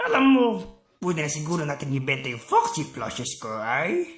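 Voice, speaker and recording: A woman voicing a cheeky, excitable male animatronic fox in a cartoon fan dub, dramatic and gravelly. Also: low-pitched, moderate pace, roomy sound, faint music behind. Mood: protesting.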